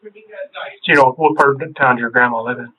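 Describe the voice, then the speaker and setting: Fast-talking detective on a tinny tape. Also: male voice, steady tone, slight background noise. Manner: casual, friendly questioning. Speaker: police detective. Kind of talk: interrogation small talk. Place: police interview room. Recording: tinny low-fidelity room recording.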